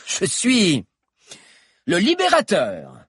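A man voicing a sneering, menacing male stag lord, pitched well above his own voice. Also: huge pitch swings, extremely slow, fairly bright tone, husky, no echo, clean studio sound, heavily acted. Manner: mocking, menacing.

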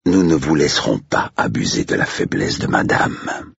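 A man voicing a stern, menacing, coldly determined man in an audiobook story, growling and deliberate. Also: a bass voice, lively intonation, creaky, slightly rough, no echo, dull audio, exaggerated voice. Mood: cold resolve.

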